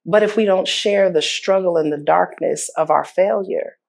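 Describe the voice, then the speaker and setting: A woman's voice: on the low side, normal speed, gravelly, a little mellow. A woman mayor talking openly as a guest in an interview, earnest and often repeating words as she goes. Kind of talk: candid interview talk.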